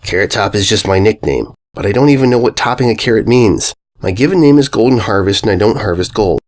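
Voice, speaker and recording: A man's voice: lowish voice, animated, fast talker, a little mellow, natural voice. A man voicing an exasperated, grumpy female cartoon pony with a snippy, incredulous way of talking.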